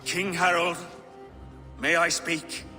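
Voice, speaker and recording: A weary elder king of the Vikings for a video game. He is dignified and unhurried, with a forceful voice carried on long hall reverb. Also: a little high, level tone, full character voice. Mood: solemn resolve. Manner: solemn, measured. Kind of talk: solemn video game oratory. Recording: long hall reverb, faint music behind.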